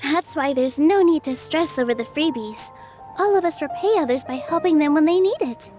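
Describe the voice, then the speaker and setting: Woman playing a gentle, high-voiced young dancer. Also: normal speed, close to an everyday voice. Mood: reassuring. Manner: soothing, friendly, polite. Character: kind, gentle, reassuring young theater dancer. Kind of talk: video game voice acting. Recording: faint music behind the voice.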